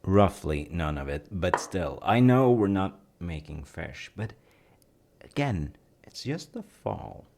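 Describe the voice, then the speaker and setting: Very deep, gravelly man as a cozy dad. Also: sing-song, takes it slowly, a little mellow, full character voice. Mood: cozy, gently playful. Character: gentle, playful dad baking with his child. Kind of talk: cozy audio roleplay, ASMR-like. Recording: faint noise, kitchen sounds.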